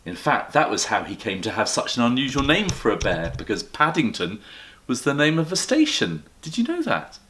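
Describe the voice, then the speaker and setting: Very animated man telling children's stories. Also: mid-range voice, moderate pace, slight background noise. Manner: expressive, addresses children directly. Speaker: headmaster reading a story to children. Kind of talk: children's storytelling.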